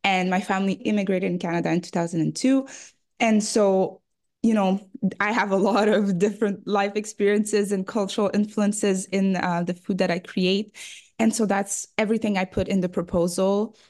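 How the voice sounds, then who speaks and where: A woman's voice: mid-range voice, fairly even intonation, moderate pace. Young French-speaking woman, chatty guest. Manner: warm, laughs often, uses many fillers like "like". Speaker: guest, food photographer and cookbook author. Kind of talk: casual interview chat.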